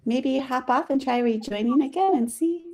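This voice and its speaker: A woman hosting a live online interview in a chatty way, full of "like" and "um", her voice a little raspy from talking all morning. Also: high-pitched, moderate pace, mellow, no room sound. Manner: chatty, filler-heavy, says "like" often. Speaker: host of live interview series. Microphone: video call.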